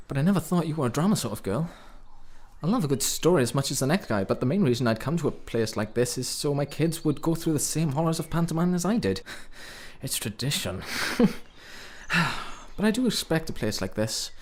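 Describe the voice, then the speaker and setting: An actor playing a troubled, drifting man in a radio drama, a wry and self-deprecating romantic with a halting delivery. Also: on the high side, expressive, darkish tone. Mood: wry, amused.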